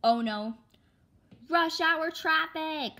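Woman acting a harried, exasperated mom, loud and raised. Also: high voice, very slow, voice pushed up, full character voice. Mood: frustrated. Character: harried, exasperated mother driving her kids on a road trip.

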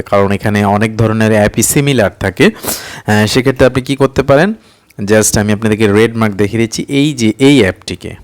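A man narrates an informal phone app tutorial step by step, instructing repetitively, prompting with rhetorical questions and mixing in English words. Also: medium pitch. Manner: mixes English words into speech. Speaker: tutorial presenter.

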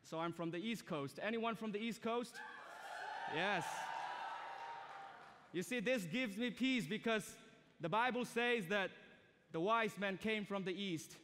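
Young preacher, high-pitched male voice. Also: a little slow, long pauses, noisy background. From Myanmar. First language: a language of Myanmar. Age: young adult. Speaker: guest preacher. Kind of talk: sermon to a student assembly. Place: large indoor auditorium stage. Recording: reverberant hall.

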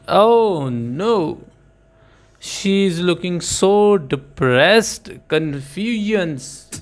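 A man's voice: high-pitched, animated, extremely slow. Chatty man reacting, music faint behind. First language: not English. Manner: chatty, hesitant with many fillers, exclaims at the video. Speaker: reaction video host. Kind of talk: casual reaction commentary. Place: small room indoors. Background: faint music from the reacted video.

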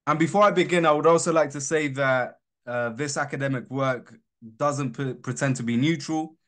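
A young man from Colombia with a British accent, presenting his research in a measured, earnest academic talk. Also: medium pitch, a bit bright.

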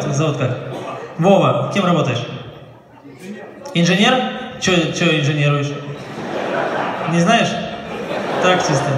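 A young man doing stand-up crowd work, mocking the front row bluntly and swearing a lot. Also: a little high. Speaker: stand-up comedian. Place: large dark theater stage. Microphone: handheld mic, filmed from far. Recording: echoey hall, distant, loud crowd. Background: audience laughter and noise.

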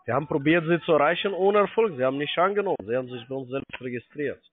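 Male call centre agent, brisk, slightly high pitch, pushy and impatient. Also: expressive, slightly rough. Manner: insistent, impatient. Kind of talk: cold sales phone call. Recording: tinny narrow phone line.

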